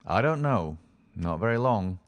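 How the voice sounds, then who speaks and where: Narrator voicing a worn-out frontiersman, low rough drawl, soft and patient. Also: expressive, no room sound. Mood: gentle. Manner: rough frontier dialect, tender and slow. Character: weathered frontier man, gentle and resigned.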